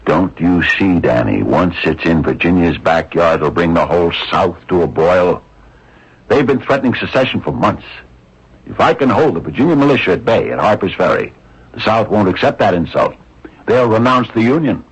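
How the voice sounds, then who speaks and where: An actor playing a zealous, determined abolitionist leader in a radio drama, husky and emphatic, laying things out with insistence. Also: male voice, low-pitched, expressive, normal speed, heavily acted. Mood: fervent. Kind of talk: 1980s radio drama acting. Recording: dull old radio recording.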